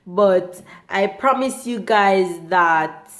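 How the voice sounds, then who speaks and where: A young woman, hoarse from a cold, chatting casually in a home vlog about her diet, with many ums. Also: mid-range voice, a bit bright. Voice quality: slightly rusty voice. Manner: chatty, unhurried, frequent ums. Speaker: vlogger.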